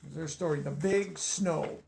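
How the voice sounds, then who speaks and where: A man's voice: fairly high pitch, unhurried, gravelly, darkish tone, a little hiss or hum. A man reading a children's picture book aloud, stopping to explain words in a teacherly way. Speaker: storybook reader.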